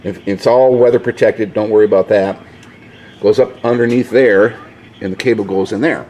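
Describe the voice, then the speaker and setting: Low-voiced man, casual DIY garage vlog. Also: fairly even intonation, moderate pace, dark tone. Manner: informal, mildly salty, explaining step by step. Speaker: DIY video host. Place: inside a home garage, at a pickup truck. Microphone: handheld camera, held by the speaker. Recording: noisy handheld camera audio in garage.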